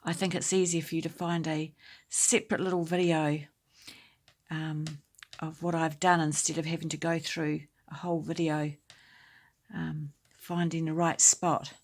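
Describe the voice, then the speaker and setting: A woman with a slightly croaky voice hosting a casual knitting tutorial at home, explaining each step in a chatty, unhurried way. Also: deep voice, little pitch movement. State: slightly croaky voice from talking a lot. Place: indoors at home.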